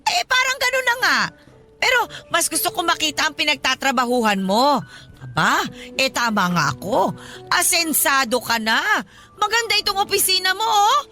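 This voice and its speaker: A woman's voice: high voice, sing-song, moderate pace, sharp tone, soft background music, exaggerated voice. A woman playing a lonely, demanding old godmother who talks in a pushy, coaxing way in a radio drama. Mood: admiring. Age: older.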